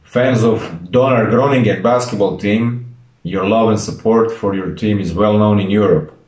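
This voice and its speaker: Man, late 30s, low voice, formal head coach addressing fans in a roomy, echoing space. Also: normal speed, a little mellow. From Croatia. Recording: roomy echo.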